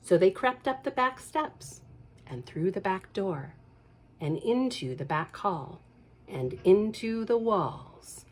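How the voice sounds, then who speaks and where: A woman, a children's librarian, reading a picture book aloud at storytime, expressive and breaking off for chatty asides to the children. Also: mid-range voice, slow.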